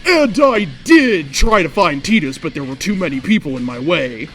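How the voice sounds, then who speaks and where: Female storyteller, theatrical delivery with drawn-out emphasis, reading fan fiction over a light music bed. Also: low-pitched, sing-song. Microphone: microphone without pop filter. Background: faint music.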